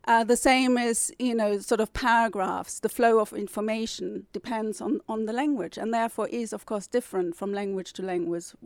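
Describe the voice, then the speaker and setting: A woman's voice: on the high side, normal speed, few pauses, a little mellow, dry recording. A woman whose first language is German, a publisher and novelist, answering interview questions in a thoughtful way, with fillers and restarts.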